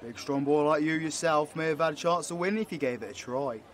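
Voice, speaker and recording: A man's voice: fairly high pitch, a little fast, slight background noise, lightly acted. A man voicing a crooked carnival game stall keeper who talks in a folksy way, calling people boy and son, in an audio roleplay. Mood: sly, folksy.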